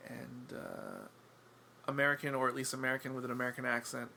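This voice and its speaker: A man with a gravelly, bright tone talks casually as a podcast guest. His manner is wry and self-deprecating, and he rambles with plenty of ums and restarts. A faint hiss sits under his voice. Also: medium pitch, wildly swooping pitch, fairly quick. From England.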